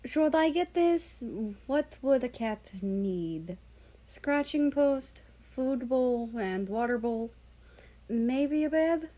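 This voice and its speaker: Woman doing a soft-hearted guy fussing over his pet cat, storybook dialogue. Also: high-pitched, lively intonation, slow pace, pitched above the performer's own voice, low-quality recording. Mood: musing, unsure.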